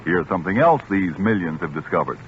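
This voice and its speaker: Animated male 1940s radio ad announcer. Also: sits low, brisk. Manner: polished, emphatic salesmanship. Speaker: commercial announcer. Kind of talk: 1940s radio advertising pitch. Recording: dull, faintly noisy 1940s radio broadcast.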